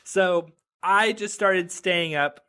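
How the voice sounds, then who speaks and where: A man in his thirties with a bright, forward tone and a slightly high voice, talking as a podcast guest. He rambles cheerfully, stacks up "like" fillers and restarts his sentences as he jokes along. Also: slow, smooth. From Reno, Nevada. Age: 30s. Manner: chatty and joking, many "like" fillers and restarts. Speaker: podcast guest, web developer. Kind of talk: casual interview chat.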